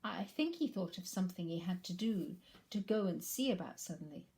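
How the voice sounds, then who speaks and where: A woman voicing a gentle, slow-witted, dreamy bear in a storybook reading, with a mild musing manner. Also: on the low side, expressive.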